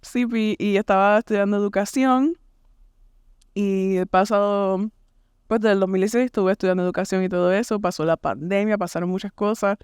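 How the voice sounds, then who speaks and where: A woman's voice: medium pitch, fairly even intonation, a little fast, darkish tone, smooth, no echo, studio quality. A young woman from Puerto Rico with a Puerto Rican accent, a podcast guest chatting casually, full of fillers and English words dropped in. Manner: chatty, uses fillers and drops English words into her Spanish. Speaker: podcast guest, church worship resident.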